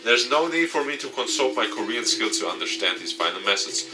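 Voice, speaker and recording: A man reading a visual novel aloud and commenting off the cuff, dramatic with excitable asides. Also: medium pitch, a little fast. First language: not English. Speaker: let's play YouTuber. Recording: distant mic, music behind. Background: game music.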